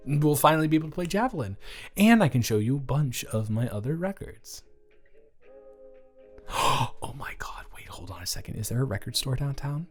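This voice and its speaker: A man's voice: medium pitch, natural voice. A young man playing a shy, eager, awkward college dormmate in a romantic audio roleplay, talking in a hesitant, stammering ramble. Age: young adult. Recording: music playing behind the voice.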